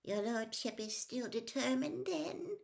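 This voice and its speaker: A woman voicing a timid, servile male henchman in an audiobook, squeaky and nervous, words tumbling out as he pleads. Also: very animated, normal speed, a bit bright, full character voice.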